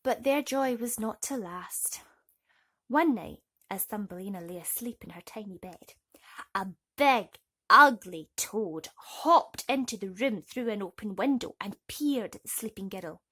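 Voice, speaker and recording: Female children's storyteller, medium pitch, soft husky breathiness, expressive bedtime-reading delivery. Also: unhurried.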